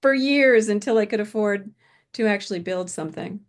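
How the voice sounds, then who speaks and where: Older woman guest, casual and chatty, easy laughs, average pitch. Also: normal speed. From British upbringing, lives in California. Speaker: interview guest, author. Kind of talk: casual interview conversation.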